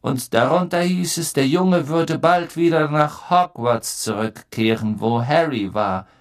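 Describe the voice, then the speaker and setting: Man acting an intense, haunted fugitive, gravelly. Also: a little high, unhurried, pitched above the performer's own voice. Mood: intense recollection. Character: haunted, intense male escaped prisoner.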